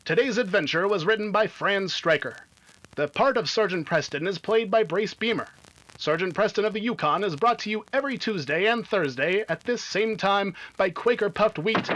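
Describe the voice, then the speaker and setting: A man acting an eager, emphatic 1950s radio announcer who pitches to children with clear enunciation. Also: fairly bright tone, a little hiss or hum. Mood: formal, upbeat. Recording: dull, lo-fi radio sound.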